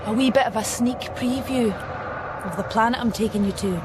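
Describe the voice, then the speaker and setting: An actress playing an otherworldly daughter in a radio drama, speaking with teasing, quiet confidence and a poetic turn. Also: female voice, a little high, brisk, noisy background, natural voice. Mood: proud wonder. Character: otherworldly daughter, eerily assured, luring her mother away.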